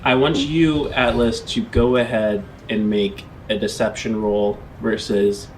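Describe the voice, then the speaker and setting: A man's voice: on the low side, level tone, a little slow, darkish tone, slight background noise, rough recording. A man running a tabletop game as game master, improvising descriptive narration in a conversational way. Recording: music playing under the voice.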